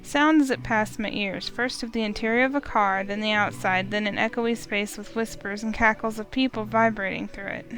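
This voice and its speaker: A woman reading a visual novel aloud in first person, steady and expressive, with small slips. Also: mid-range voice, normal speed, hardly any pauses, rough recording. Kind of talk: first-person story narration.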